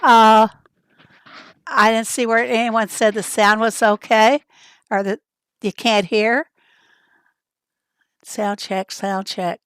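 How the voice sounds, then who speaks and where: A woman with a raspy voice, hoarse from a summer cold, chatting her way through a livestream in a loose, rambling manner. Her voice sits a little high, and she greets viewers from the chat as she goes. The sound is dry, with no room echo. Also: steady tone, slow pace, long pauses, a bit bright. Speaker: livestream host.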